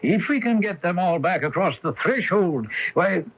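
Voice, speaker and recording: An actor playing a courteous, coolly superior otherworldly man in a radio mystery drama, speaking formally and with measured loftiness. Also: high-pitched, animated, a little hiss or hum. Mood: lofty, patient. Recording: dull lo-fi 1980 radio broadcast.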